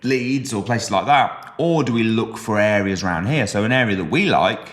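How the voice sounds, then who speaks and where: A man from the West Midlands co-hosting a podcast in casual chat, animated and teasing, with sudden jumps up in pitch when he stresses a point. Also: normal speed. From West Midlands, England. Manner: teasing, joking banter. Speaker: podcast co-host.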